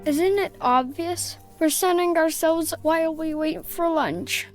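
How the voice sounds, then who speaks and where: A woman's voice: extremely high pitch, a little slow, faint music behind. A woman voicing a sardonic captive child in a fairy-tale game, wry and matter-of-fact. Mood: sarcastic.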